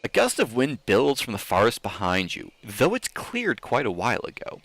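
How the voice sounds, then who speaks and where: A man reading a story aloud in a theatrical, expressive manner, with casual asides, like a streamer hosting for an audience. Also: mid-range voice, moderate pace, dry recording.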